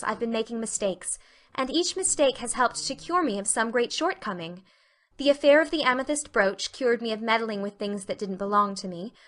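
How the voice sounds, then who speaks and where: A spirited teenage girl as a woman reader plays her in an audiobook. Her voice is bright and fairly high, and she speaks with earnest, theatrical emphasis. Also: natural voice. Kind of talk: audiobook character acting.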